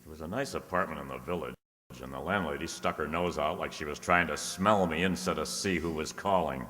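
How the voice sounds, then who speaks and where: Deep male voice, bright and forceful, sardonic private detective played by an actor, radio drama, reverberant hall. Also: fairly quick. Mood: brooding narration. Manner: dry, sardonic. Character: wisecracking hard-boiled private detective, adult man. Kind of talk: old-time detective radio play acting and narration. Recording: echoey hall, long reverb.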